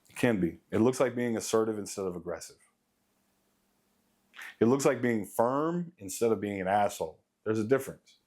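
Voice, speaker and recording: A man in his late thirties with a deep voice, giving a blunt, profane motivational rant to his viewers as a vlogger. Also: animated, moderate pace, a little mellow. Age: late 30s. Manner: blunt, profane, emphatic repetition. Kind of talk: casual motivational rant to camera.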